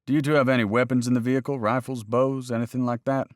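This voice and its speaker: A man's voice: medium pitch, little pitch movement, quick pace, no room sound, clean studio sound, pitched above the performer's own voice, lightly acted. A man voicing a stern, officious male game warden in a read-aloud story, clipped and addressing people as son.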